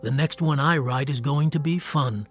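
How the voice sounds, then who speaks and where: A man's voice: a little high, normal speed, fairly bright tone, lightly acted. An actor playing an earnest, wry war-veteran writer who tells his story in a radio drama. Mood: wry, reflective. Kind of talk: radio drama acting and literary narration. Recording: music under the voice. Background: music.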